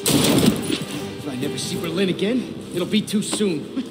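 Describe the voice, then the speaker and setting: An actor playing a brash, impatient wartime pilot in a video game, blunt in delivery. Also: male voice, high-pitched, expressive, takes it slowly. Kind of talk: video game cutscene acting. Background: loud music.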